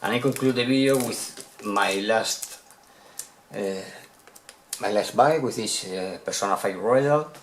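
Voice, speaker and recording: Deep-voiced Spanish man, halting English. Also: soft background music. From Spain. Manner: hesitant, repeats words while searching for English. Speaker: video game collector showing purchases. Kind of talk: casual unboxing vlog.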